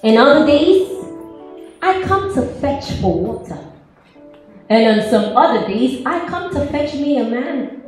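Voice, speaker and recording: Woman acting a sassy wounded outcast woman, dramatic stage monologue, very animated pitch, far from the mic in an echoey hall. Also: a little slow, faint music behind. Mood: sassy, storytelling. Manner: theatrical, emphatic, swinging from hushed to loud. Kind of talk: dramatic spoken-word monologue performed live. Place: large echoey church hall, music behind.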